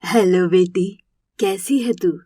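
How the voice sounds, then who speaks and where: A woman voicing an affectionate, homely older mother in an animated moral story. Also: fairly low pitch, takes it slowly, husky, dry recording, voice pushed down. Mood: fond, wistful. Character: doting older mother and mother-in-law, tender, homely.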